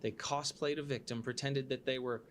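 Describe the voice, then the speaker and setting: A man's voice: mid-range voice, steady tone, moderate pace, a little hiss or hum. A man delivering an earnest, indignant monologue straight to his viewers, speaking emphatically as an online creator.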